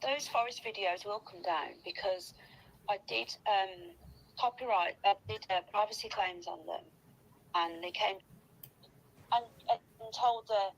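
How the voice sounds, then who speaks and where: A woman's voice: mid-range voice, unhurried, vocal fry, a little hiss or hum, poor audio. A woman chatting casually and gossiping in a rambling, sweary, complaining way.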